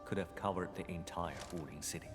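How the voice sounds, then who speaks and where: An actor playing a stoic, terse military operative in a video game, speaking formally and bluntly. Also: male voice, sits low, fairly even intonation, a little fast, music in the background, close to an everyday voice. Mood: grave, calm.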